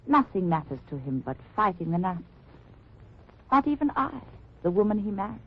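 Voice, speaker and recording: Secretive resistance wife, vintage radio. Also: female voice, mid-range voice, big pitch swings, fairly quick, husky. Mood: bitter, resigned. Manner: careful, formal, earnest phrasing. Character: secretive, weary German underground fighter's wife. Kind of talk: 1940s-50s radio drama acting. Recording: muffled, noisy old-time radio recording.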